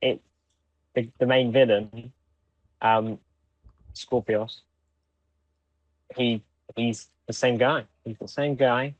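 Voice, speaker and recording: A man co-hosting a podcast in casual chat, speaking in halting pieces and repeating words, on a dull microphone. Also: mid-range voice, steady tone, no room sound. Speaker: podcast co-host. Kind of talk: casual chat reviewing audio drama.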